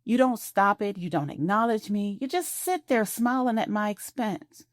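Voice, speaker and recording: A woman's voice: medium pitch, moderate pace, no echo, pitched above the performer's own voice, lightly acted. A woman voicing a hurt wife in her fifties who speaks wounded and pointed, recorded close and dry. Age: 50s. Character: hurt middle-aged wife, wounded and direct.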